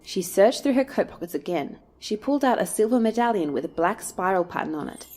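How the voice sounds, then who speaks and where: A woman's voice: medium pitch, expressive, a little fast, a little mellow. A woman reading scripted story narration for an audio drama. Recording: music under the voice.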